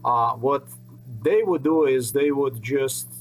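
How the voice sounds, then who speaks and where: A man's voice: mid-range voice, slow, slight background noise, poor audio. A middle-aged man, rambling earnestly and repeating himself as he talks to his webcam in a small room. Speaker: vlogger. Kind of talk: unscripted monologue to camera. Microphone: webcam at desk.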